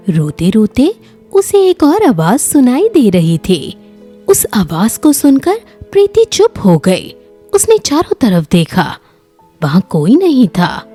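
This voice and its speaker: A woman narrating a scripted children's story in an expressive, dramatic storytelling manner. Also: high-pitched, slightly rough.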